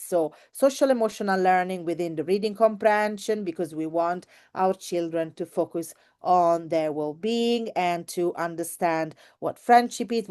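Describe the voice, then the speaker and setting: A woman's voice: fairly low pitch, smooth. Enthusiastic, effusive woman presenting. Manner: enthusiastic, effusive, expressive, repeats words for emphasis. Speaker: teacher and trainer presenting. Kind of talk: informal online workshop presentation.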